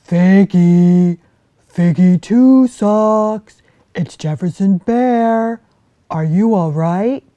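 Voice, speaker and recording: A woman voicing a big brown male bear, speaking loud and slow in a children's storybook reading. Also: lowish voice, no room sound, lo-fi sound. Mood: calling.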